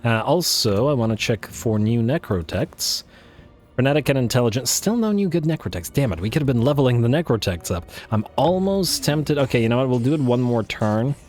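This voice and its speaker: A man thinking aloud while playing a strategy game, full of fillers and restarts, his voice worn and going hoarse. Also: mid-range voice, expressive, brisk, a little mellow. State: losing his voice. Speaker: let's play host. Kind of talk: casual strategy game commentary.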